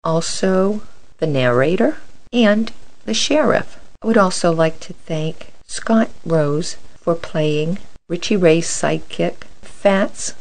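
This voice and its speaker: Low-voiced woman presenting radio play credits. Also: darkish tone, low-quality recording. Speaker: playwright and presenter. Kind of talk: thank-you credits after a radio play.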